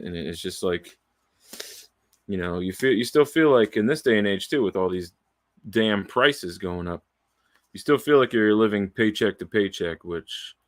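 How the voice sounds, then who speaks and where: A man nearing forty talks into his own microphone at home in a loose, rambling way. His voice sits a little low, and the sound is dry and close, with no room around it. He swears freely, restarts phrases and trails off between thoughts. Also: no room sound. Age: 30s. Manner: candid, profane, wandering, with restarts and long trailing pauses. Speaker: aspiring voiceover artist. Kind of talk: casual rambling monologue. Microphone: studio microphone, close and dry.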